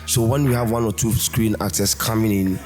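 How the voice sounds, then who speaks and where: Male stage director, Ghanaian accent, low voice, interview talk over faint music. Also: fairly even intonation, fairly quick, darkish tone. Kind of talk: interview answers.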